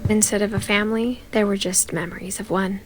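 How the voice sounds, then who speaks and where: A woman voicing a young woman who narrates her return to her family home, in a quiet, wistful storytelling manner. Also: medium pitch, fairly even intonation, a little fast, music in the background, close to an everyday voice, rough recording. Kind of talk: game narration.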